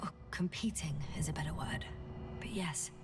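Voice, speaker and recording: A woman playing a composed scientist in a video game, explaining with patient, measured and analytical precision. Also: low voice, whispered, loud music behind the voice, close to an everyday voice. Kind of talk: video game dialogue acting.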